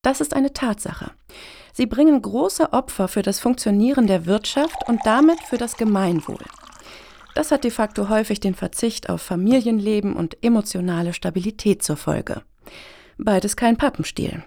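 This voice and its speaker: A woman's voice: mid-range voice, lively intonation, moderate pace, creaky, warm tone. An actress playing an earnest, self-important middle-aged woman activist in a satirical radio play, speaking formally and wordily. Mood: solemn.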